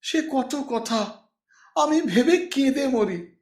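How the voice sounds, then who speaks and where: An audiobook portrait of a worn-down, reproachful middle-aged wife, acted by a man. The voice is high and plaintive, tearful and pleading, with wide swings of pitch in an echoey room. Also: animated, slow, a little mellow, full character voice. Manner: pleading, nagging complaint. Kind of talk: audiobook character acting.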